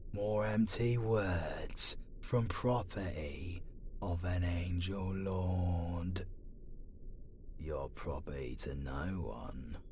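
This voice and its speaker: Guy doing a bitter demon prisoner, really low breathy near-whisper, sardonic, faint noise behind, asmr roleplay. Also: exaggerated voice. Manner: slow, sardonic. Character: bitter, defiant, foul-mouthed male demon prisoner. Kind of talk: fantasy ASMR audio roleplay acting.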